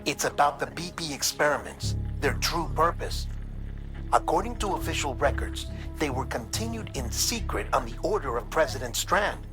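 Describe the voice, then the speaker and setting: An actor playing an earnest, troubled investigator in a video game, delivering a briefing in a measured, grave and deliberate way. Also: male voice, medium pitch, lightly acted. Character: earnest, troubled male investigator reporting findings to a courier. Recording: dramatic music behind the voice.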